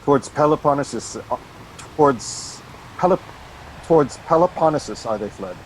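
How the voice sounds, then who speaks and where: Mid-pitched resigned commander read by a man, faint noise. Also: animated, moderate pace, lightly acted, rough recording. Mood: resigned, matter-of-fact. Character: resigned male army commander turning deserter. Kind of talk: amateur Shakespeare read-aloud. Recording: video call with faint rumbling noise.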